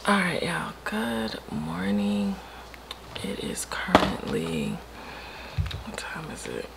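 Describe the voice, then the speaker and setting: An American woman in her thirties with a deep early-morning voice, chatting candidly and informally to camera. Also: wildly swooping pitch, takes it slowly, heavy background noise. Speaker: vlogger. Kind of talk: casual chatty vlog to camera. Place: home kitchen and upstairs rooms, indoors.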